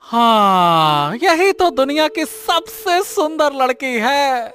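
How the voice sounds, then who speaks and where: A dramatic young exiled prince from a cartoon tale, acted by a woman, high and bright in tone. He is naive and excitable, stretching out and repeating his exclamations over background music. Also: takes it slowly, full character voice. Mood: lovestruck. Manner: theatrical, hesitant, exclaiming with repeated words. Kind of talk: cartoon story voice acting.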